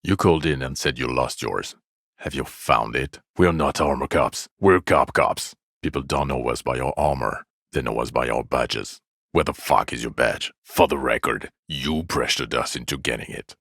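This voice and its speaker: A bitter, world-weary policeman with an exceptionally deep voice. It crackles with gravel and sits close and dry. He scolds with heavy sarcasm and open contempt, like a partner who is utterly fed up. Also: normal speed, fairly bright tone, no echo, exaggerated voice. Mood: sarcastic, bitter. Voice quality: gravelly, low. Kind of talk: video game character voice acting.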